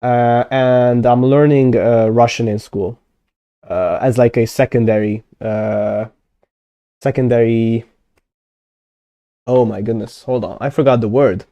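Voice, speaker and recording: A man's voice: medium pitch, fairly even intonation, measured pace, long pauses, dry recording, dull audio. A young Hungarian man chatting casually on a live stream, thinking aloud with many fillers and self-corrections as he plans a constructed language. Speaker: conlang streamer.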